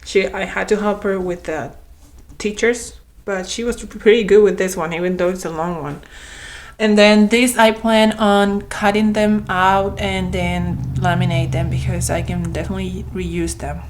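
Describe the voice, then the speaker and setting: A young adult woman with a slightly hoarse voice, chatting casually as she shows her homeschool materials in a vlog, with frequent ums and restarts. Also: medium pitch, steady tone, moderate pace. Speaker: homeschooling mom vlogger. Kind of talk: casual show-and-tell vlog.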